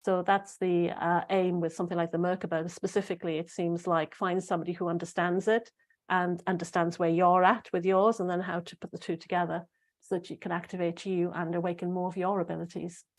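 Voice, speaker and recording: A middle-aged woman talks to her listeners in a loose, rambling way, full of fillers and restarts. Her voice sits a little low and sounds slightly croaky. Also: level tone, fairly quick. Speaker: spiritual podcast host. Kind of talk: casual solo talk to listeners.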